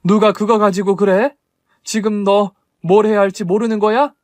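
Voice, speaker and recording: A man's voice: sits high, steady tone, quick pace, dry recording, clean studio sound, voice pushed up, close to an everyday voice. A man doing a scolding, loving middle-aged mother. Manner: raised, emphatic scolding.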